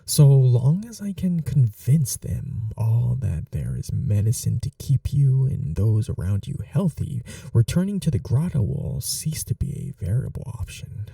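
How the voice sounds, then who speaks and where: A man playing a scheming young prince and possessive lover in a soft-spoken fantasy ASMR roleplay, speaking formally and deliberately with menacing tenderness. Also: medium pitch, very animated, gravelly, dry recording, recorded from a distance, full character voice. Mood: smug, calculating.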